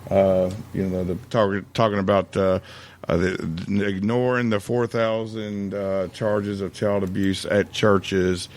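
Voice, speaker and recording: A man's voice: low-pitched, level tone, slow, darkish tone. Rambling, blunt male co-host. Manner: rambling, many fillers, blunt. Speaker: podcast co-host. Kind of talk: casual profane podcast chat.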